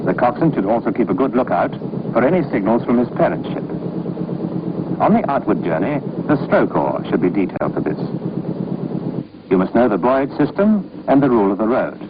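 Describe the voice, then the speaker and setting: A man's voice: medium pitch, sing-song, fairly quick. A man reading scripted training-film narration in a formal, instructive, authoritative manner. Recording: muffled, noisy old film soundtrack.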